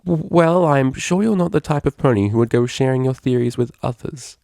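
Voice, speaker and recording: A man's voice: medium pitch, brisk, gravelly, a little mellow, no echo, voice pushed up, natural voice. A man voicing an anxious, proper female pony cellist in a story, polite and careful in speech. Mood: flustered.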